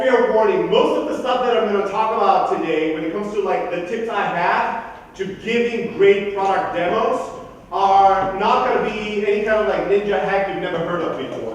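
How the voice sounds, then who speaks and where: A man in his thirties from Greece, raised in southern Germany, giving a blunt, profane, energetic keynote talk at a conference. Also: high voice, slight background noise. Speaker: conference speaker. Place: large conference hall. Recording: echoey hall from far off.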